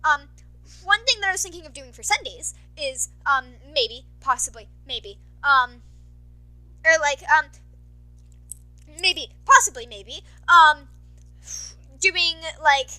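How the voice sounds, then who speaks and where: A woman's voice: sits high, animated, takes it slowly, long pauses, sharp tone, rough recording. A young adult woman chatting casually to her listeners in a scattered, effusive, apologetic way, like an informal update from a podfic reader.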